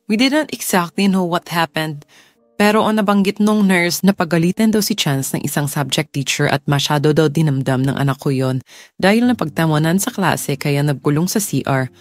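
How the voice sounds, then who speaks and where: A woman's voice: low voice, normal speed, no room sound, clean studio sound, natural voice. A woman playing a worried mother of a sick son in a Filipino audio drama, speaking earnestly over music. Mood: troubled recollection. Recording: music bed under the voice.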